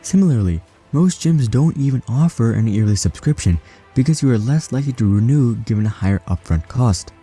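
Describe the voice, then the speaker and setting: A man narrating a scripted explainer in an even, read-out way. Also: mid-range voice, brisk, husky, soft background music.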